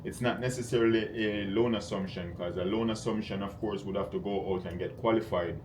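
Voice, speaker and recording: A man's voice: fairly low pitch, steady tone, brisk. A man on a sales call as an investor, talking in a direct, probing, conversational way and explaining his thinking. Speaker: real estate investor cold calling. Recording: clear background noise on call recording.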